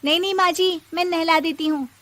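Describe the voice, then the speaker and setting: A woman's voice: very high voice, fairly even intonation, fairly quick, sharp tone, dry recording, voice pushed up. A woman playing a devoted, emotional young daughter-in-law and mother in a moral-story cartoon, earnest and dramatic. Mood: worried.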